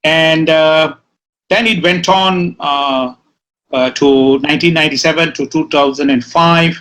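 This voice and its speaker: A man's voice: fairly high pitch, steady tone, a little slow, a bit bright, rough recording. A middle-aged man hosting a talk show interview, asking questions with many fillers and restarts. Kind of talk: casual interview.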